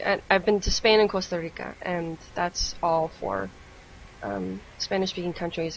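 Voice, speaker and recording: A woman co-hosting a casual live esports stream, speaking in a somewhat hesitant, halting way. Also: lowish voice, vocal fry, a bit bright, a little hiss or hum, rough recording. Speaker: esports co-caster. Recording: music playing under the voice. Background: music.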